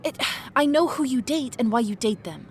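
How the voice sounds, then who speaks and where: A woman playing a blunt, defensive teenage high school girl in an audio drama, easily flustered. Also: lively intonation, moderate pace, a little mellow, slight background noise.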